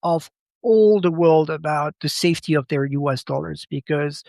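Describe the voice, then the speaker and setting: A fairly high-voiced man who speaks as a gives his analysis as a podcast guest. He talks haltingly, doubling back on words as he looks for the right phrase. The sound is dry and close. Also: gravelly, darkish tone, no room sound. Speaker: podcast guest, macro commentator. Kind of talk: conversational analysis.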